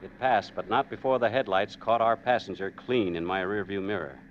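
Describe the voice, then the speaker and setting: An actor playing a steady middle-aged husband and father in a vintage radio suspense drama, on a dull, hissy old recording. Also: fairly low pitch, normal speed, natural voice. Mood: tense narration. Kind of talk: 1940s-50s radio suspense acting.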